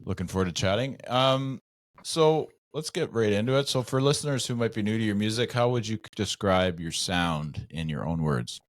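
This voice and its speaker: A man co-hosts with a very deep voice, loose and jokey, his thoughts wandering as he talks. The sound is dry and close. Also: normal speed, dry recording. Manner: relaxed, rambling, jokey. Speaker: co-host. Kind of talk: casual podcast interview.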